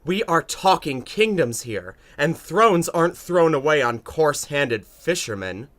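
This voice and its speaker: An actor playing a haughty, sneering rich suitor in an audiobook, with pompous, mocking theatrical delivery. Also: male voice, fairly high pitch, very animated, a little slow, fairly bright tone. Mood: scornful.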